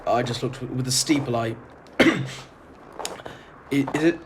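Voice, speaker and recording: Guy acting polite uneasy traveller in an audio drama, mid-pitched, earnest, noisy ambience behind. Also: measured pace, close to an everyday voice. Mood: nervous, hesitant. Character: uneasy, polite male traveller narrating his strange homecoming. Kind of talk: audio drama narration and dialogue. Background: ambience noise.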